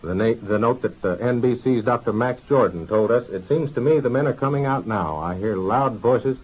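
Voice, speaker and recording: A man delivering a live 1940s network radio news bulletin with formal, deliberate announcing from a newsroom. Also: mid-range voice, slightly rough, poor audio. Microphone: broadcast studio microphone.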